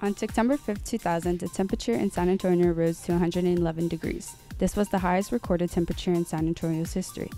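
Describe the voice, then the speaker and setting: A woman's voice: fairly low pitch, fairly even intonation, fast talker, few pauses, mellow, soft background music. A teenage girl reading scripted school TV announcements and a weather fact segment. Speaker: student announcer.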